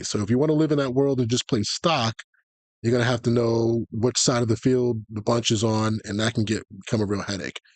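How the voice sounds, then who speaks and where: A man giving a live, conversational tutorial as a gaming coach, explaining tactics and often checking in with a quick "okay?" or "right?". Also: fairly low pitch, dry recording. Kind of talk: live explanatory commentary on football video game tactics.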